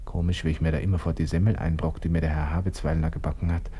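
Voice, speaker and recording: Husky, low-voiced young Austrian lieutenant. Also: male voice, fast. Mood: giddy relief. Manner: muttering excited asides to himself. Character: vain, jittery young Austrian army lieutenant. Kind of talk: 1960s radio drama monologue.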